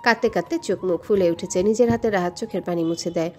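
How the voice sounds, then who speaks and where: A woman's voice: on the low side, quick pace, mellow. A woman narrator reading a scripted romantic story. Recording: voice over a music bed.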